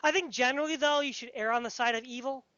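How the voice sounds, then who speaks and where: Emphatic, high-pitched woman, muffled recording. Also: fast, a bit bright, no room sound. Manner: emphatic, insistent, pedantic. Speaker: podcast speaker discussing fantasy. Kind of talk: opinionated casual discussion.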